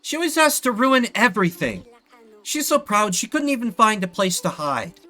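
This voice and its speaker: Bickering teen princess for a game, man performing: snippy, sulky, raised pitch, bright edge. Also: very animated, moderate pace, exaggerated voice. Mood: petulant, taunting.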